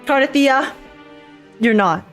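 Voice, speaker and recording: Expressive high-voiced young woman streamer. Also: slow pace. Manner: expressive, gushing, exclaiming. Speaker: livestreamer reacting to a game. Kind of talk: casual live game reaction commentary. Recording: game music behind the voice. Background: game music.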